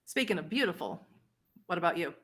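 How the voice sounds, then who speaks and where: A low-voiced old vampire woman of the streets, played by a woman in a tabletop game. She is blunt and scornful, needling others in a folksy way. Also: lively intonation, fairly quick, fairly bright tone.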